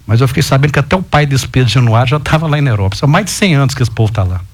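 Man, talk show guest, casual storytelling, lively rising emphases. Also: medium pitch, racing. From Goiás, Brazil. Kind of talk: casual chat.

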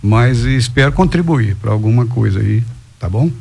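A man from Goiânia with a Goiano accent, a businessman guest on a talk show, speaking haltingly with frequent tag questions. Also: mid-range voice, lively intonation, creaky, darkish tone, a little hiss or hum. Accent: Goiano Brazilian Portuguese. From Goiânia, Brazil. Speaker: guest, real estate businessman. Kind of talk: casual chat.

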